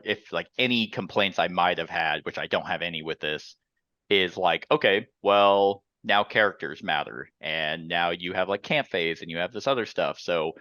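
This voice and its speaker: A man's voice: low-pitched, pushed and bright, no room sound. A man chatting casually and animatedly as a board game show host, rambling like a storyteller. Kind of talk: casual chat.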